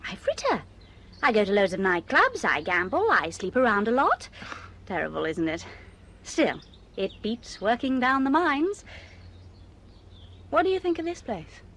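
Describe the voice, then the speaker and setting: Flippant, wildly animated young socialite. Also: female voice, fairly high pitch, measured pace, long pauses, slight background noise, full character voice. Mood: breezy, self-mocking. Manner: self-mocking, theatrical, teasing. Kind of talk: radio drama acting.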